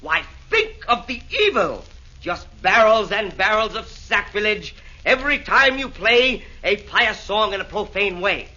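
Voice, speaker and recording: An actor playing Nero as a fussy demon with affected, connoisseur airs in a 1940s radio play. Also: male voice, high voice, animated, a little slow, sharp tone, muffled recording, heavily acted. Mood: scheming.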